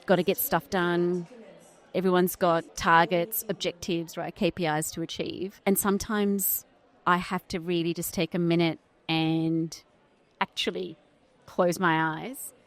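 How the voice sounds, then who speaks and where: A woman's voice: on the low side, flat delivery, unhurried. A middle-aged woman from Sri Lanka, a guest in a podcast conversation, speaking in a thoughtful, articulate way and often checking in with "right?". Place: conference venue.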